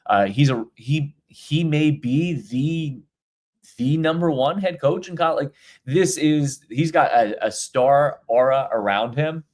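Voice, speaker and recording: A man's voice: on the high side, measured pace, darkish tone. A middle-aged man co-hosting a podcast, talking college football casually with many restarts and repeated words. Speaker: podcast co-host. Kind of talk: casual sports talk.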